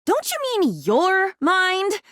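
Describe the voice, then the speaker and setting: A woman's voice: extremely high pitch, big pitch swings, takes it slowly, a bit bright, dry recording, studio quality, exaggerated voice. A woman playing a needy, bitter, melodramatic young female living diary in a video game, theatrical and wordy. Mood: wounded, yearning. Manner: theatrical, wordy, swinging between pleading and sardonic. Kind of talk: video game character acting.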